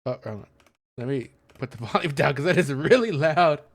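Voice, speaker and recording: A man's voice: a little high, lively intonation, brisk. A man doing casual let's play commentary, explaining things in a hesitant, rambling way into a close mic. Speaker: gaming content creator.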